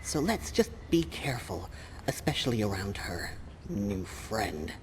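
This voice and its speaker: Low, hushed cautious toy creature. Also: female voice, very animated, a little slow, exaggerated voice. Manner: hushed, careful. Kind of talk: video game character dialogue. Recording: loud ambient noise behind the voice.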